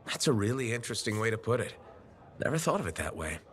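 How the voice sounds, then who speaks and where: An actor playing a friendly, supportive hero freelancer. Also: male voice, fairly low pitch, very animated, fast, faint music behind.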